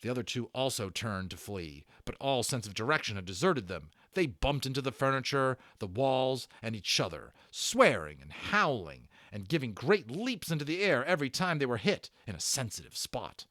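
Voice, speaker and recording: Animated male storyteller, dry close sound. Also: medium pitch, normal speed, hardly any pauses, dry recording. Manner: theatrical, suspenseful. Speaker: audiobook narrator, storyteller. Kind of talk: dramatic storybook narration.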